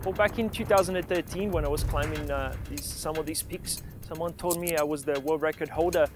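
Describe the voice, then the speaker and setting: Man in his thirties, mountaineer; relaxed, halting delivery with fillers, slightly high voice, music under. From born in Argentina, raised in Brazil. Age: 30s. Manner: relaxed, with many fillers and restarts. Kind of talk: documentary interview and voice-over.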